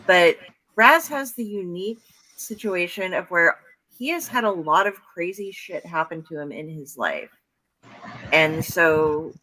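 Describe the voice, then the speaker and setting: A woman's voice: low voice, pushed and bright, a little hiss or hum, low-quality recording. A woman hosting a casual video-call chat about storytelling, rambling with fillers and restarts. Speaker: host.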